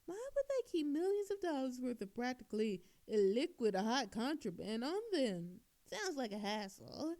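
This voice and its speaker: A woman's voice: on the high side, warm tone. A woman playing a smug, flirtatious master thief in an audio roleplay, with a teasing, coy and mock-innocent manner. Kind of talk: scripted audio roleplay monologue.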